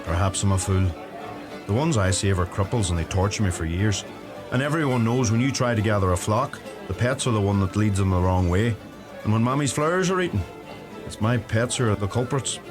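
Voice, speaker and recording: Actor as a low-voiced gentle young Donegal farmer. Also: male voice, lively intonation, fairly quick, lightly acted. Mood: wistful. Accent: Donegal Irish. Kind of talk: radio play acting. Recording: music under the voice.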